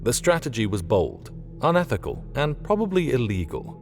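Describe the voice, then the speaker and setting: A man reading a scripted story as an audiobook narrator, in an expressive storytelling manner. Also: medium pitch, normal speed, faint music behind.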